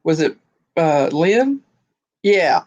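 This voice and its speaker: A woman's voice: low voice, sing-song, extremely slow, a little mellow. A woman from Texas hosting a casual video call chat, telling stories and laughing often. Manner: chatty storyteller, laughs often.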